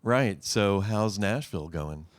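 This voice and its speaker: A man's voice: lowish voice, expressive, slow, dry recording, clean studio sound. A man hosting a radio music session, asking a musician questions in a friendly way with short fillers. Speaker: radio interview host. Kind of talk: interviewing a musician.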